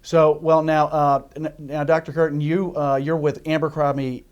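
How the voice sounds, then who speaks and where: A middle-aged man hosting a medical interview, conversationally recapping and circling his way into questions with a halting delivery. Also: on the high side, flat delivery, smooth, dull audio. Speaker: interview host, doctor.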